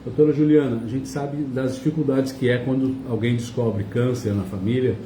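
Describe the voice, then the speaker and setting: A man's voice: medium pitch, fairly even intonation, normal speed, noisy background. A man hosting a radio talk show, asking his guests long, conversational questions. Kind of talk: casual interview chat. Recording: echoey room, mic at a distance.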